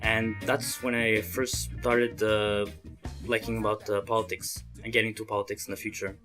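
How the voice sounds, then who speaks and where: Male student interviewee, early 20s, lowish voice, earnest but halting, faint guitar music behind. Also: little pitch movement. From Montreal, Canada. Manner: halting, hesitant phrasing. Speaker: interviewee, student association chairman. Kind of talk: interview answers, earnest explanation.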